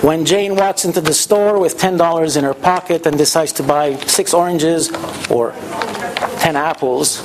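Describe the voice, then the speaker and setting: A man's voice: a little high, moderate pace. A man from Hungary gives an informal keynote talk, telling stories conversationally and posing rhetorical questions. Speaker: keynote speaker. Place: symposium venue, indoors. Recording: noisy live room recording, background chatter.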